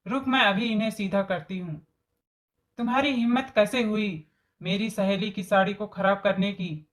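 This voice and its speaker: A woman's voice: mid-range voice, moderate pace, close to an everyday voice. A woman voicing a bossy, scheming young daughter-in-law in a storybook tale, scolding and commanding. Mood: angry, threatening.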